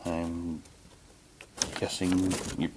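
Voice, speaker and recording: A man's voice: sits low, level tone, drawn-out words, background noise. A man casually reading printed material aloud and commenting on it, with offhand muttered asides. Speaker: unboxing presenter.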